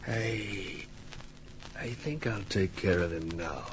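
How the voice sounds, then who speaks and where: A man's voice: fairly low pitch, slow pace. An actor playing a menacing, cold-blooded gunman in an old-time radio crime drama. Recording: muffled old radio recording, background noise.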